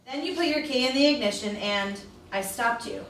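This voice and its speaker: A woman's voice: fairly high pitch, lively intonation, moderate pace, a bit bright. A woman performing a reproachful female narrator in a dramatic audiobook reading. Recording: distant, echoey, noisy playback in classroom.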